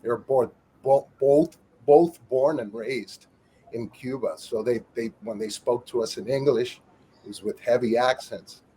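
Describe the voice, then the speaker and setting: A man's voice: mid-range voice, fairly even intonation, unhurried, mellow, slight background noise, rough recording. A middle-aged man, a former wrestler, telling stories as an interview guest with wisecracks. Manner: wisecracking, storytelling, calls people chick. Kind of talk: casual interview chat.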